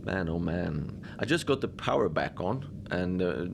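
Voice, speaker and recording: Over a noisy ambient bed comes an actor as a quirky male manager of a remote polar research station. His voice sits very low with a gravelly fry. He rambles conversationally and deadpan, full of hesitant asides, as if logging his shift for an audio drama. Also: unhurried, heavily acted. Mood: wry, weary. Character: chatty, wry male Antarctic research facility manager, quirky and folksy. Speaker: host character of an audio drama, facility manager. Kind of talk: conversational monologue, log-style audio drama.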